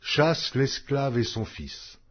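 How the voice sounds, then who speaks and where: Slow, husky male scripture reader. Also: medium pitch, big pitch swings. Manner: solemn, measured delivery with emphatic rises. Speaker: Bible reader. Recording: dull lo-fi recording.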